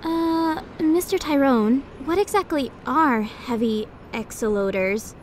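A woman's voice: high voice, slow, darkish tone, slight background noise. A woman playing an earnest, friendly young rookie cop, polite and inquisitive, in an audio drama. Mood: inquiring.

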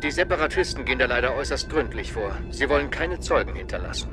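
An actor playing a stern, measured senior master in an audio drama. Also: male voice, mid-range voice, animated, over music, natural voice.

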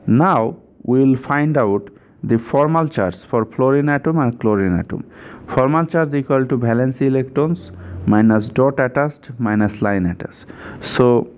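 A man's voice: mid-range voice, a little mellow. A man giving an informal step-by-step chemistry tutorial, repeating himself and often checking in with "right?".

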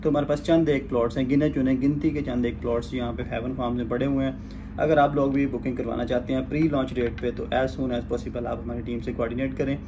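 A man's voice: medium pitch, steady tone, fast talker, background noise. A man in his thirties with a Pakistani Urdu accent, hosting a real estate video from a small office, polite and formal with hesitant fillers. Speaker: real estate agent host. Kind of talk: promotional interview and sales pitch.

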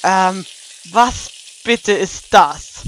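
A woman voicing a bossy, sharp-tongued cartoon pony princess in a fan audio drama, with dry, teasing sarcasm. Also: mid-range voice, extremely slow, slight background noise, rough recording. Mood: skeptical.

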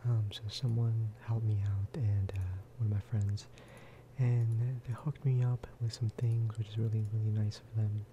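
A man giving a relaxed, casual ASMR gameplay commentary in a soft, breathy whisper, close to the microphone. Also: on the low side, moderate pace, highs cut off, slight background noise. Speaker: video game streamer and host.

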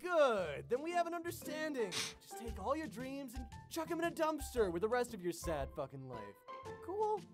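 A man's voice: very high voice, wildly swooping pitch, moderate pace, music in the background, exaggerated voice. An actor playing a hot-headed, violent teenage boy bully in a cartoon parody, aggressive and profane. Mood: menacing.

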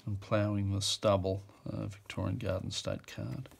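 Male auctioneer, lowish voice, offhand musing delivery, fillers and self-corrections. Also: level tone, normal speed, gravelly, slightly rough. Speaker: auctioneer describing a lot. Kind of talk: casual off-the-cuff commentary. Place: indoors at a table.

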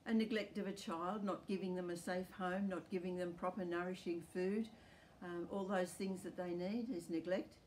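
Older Australian woman, lowish voice, earnest home church teacher, faint outdoor air behind. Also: a little hiss or hum, poor audio. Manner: calm, earnest teaching delivery. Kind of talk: recorded home church talk. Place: outdoors in a rural backyard garden.

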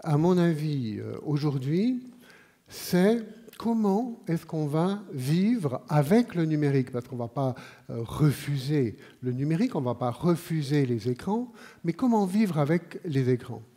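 Unhurried Swiss man on a conference stage. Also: on the high side, animated, mellow. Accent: Swiss French. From Switzerland. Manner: measured, unhurried delivery with frequent pauses and fillers. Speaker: conference speaker. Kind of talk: live conference talk. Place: large auditorium stage.

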